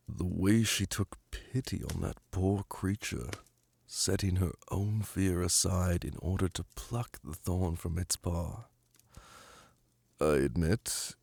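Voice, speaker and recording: A man's voice: sits low, vocal fry, a little mellow, no room sound, heavily acted. A man playing a commanding, seductive demon prince in an ASMR fantasy roleplay, speaking in a silky, formal and flirtatious way. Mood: wistful, musing. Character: commanding, seductive male demon prince, doting yet imperious.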